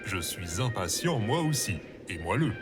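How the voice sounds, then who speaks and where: A man's voice: on the low side, big pitch swings, normal speed, loud music behind the voice. A man voicing a solemn, philosophical robot companion in an audio comedy, speaking formally and sententiously. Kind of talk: audio fiction comedy acting.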